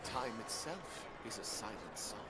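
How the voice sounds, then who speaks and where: A man's voice: medium pitch, animated, moderate pace, natural voice. An actor playing a theatrical, brooding man who makes grand philosophical pronouncements in a video game. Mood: grand, musing. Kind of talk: dramatic video game character dialogue.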